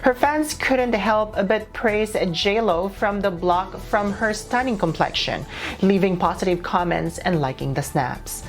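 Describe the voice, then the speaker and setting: A woman's voice: medium pitch, a little slow. A woman reading scripted showbiz news as a reporter. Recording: music bed under the voice.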